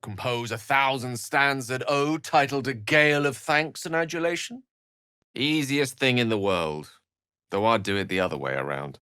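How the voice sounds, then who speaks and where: A man's voice: mid-range voice, normal speed, bright, forceful tone, clean tone, no room sound, clean studio sound, lightly acted. A man playing an erudite, witty wizard in a fantasy video game, speaking with eloquent, wry and courteous theatricality. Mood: wry, amused.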